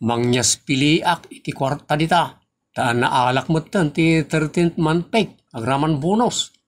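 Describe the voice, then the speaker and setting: A man's voice: a little high, measured pace, close to an everyday voice. A man with an Ilocano Filipino accent acting a teasing, scolding rural father and husband in a comedy audio drama, with an emphatic, preachy way of talking. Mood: teasing, boastful.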